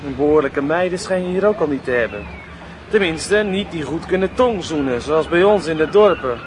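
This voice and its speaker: A coarse, nonchalant village schoolboy acted by a man, with a fairly high teenage voice. He talks bluntly and offhandedly, full of cockiness, in a radio drama with clear outdoor noise behind him. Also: lively intonation, moderate pace, close to an everyday voice. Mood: sullen, boastful.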